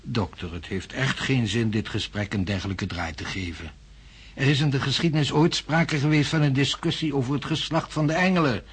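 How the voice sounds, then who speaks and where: An actor playing an impatient, self-assured professor of science in a radio drama, speaking formally and pedantically. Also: male voice, medium pitch, fairly quick, husky. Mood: irritated. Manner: formal, pedantic, emphatic lecturing.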